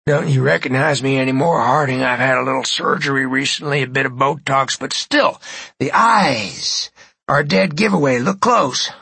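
A man voicing a vengeful older villain who taunts his victim in a slow, low, half-whispered gravelly voice. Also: sharp tone, dry recording, heavily acted. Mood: taunting. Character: cold, menacing old male avenger.

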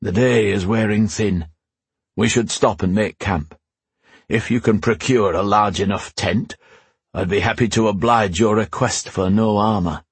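A man voicing a gruff, loyal armoured knight with dry, deadpan wit in an audiobook fantasy story. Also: lowish voice, unhurried, dry recording, heavily acted. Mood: dry, suggestive.

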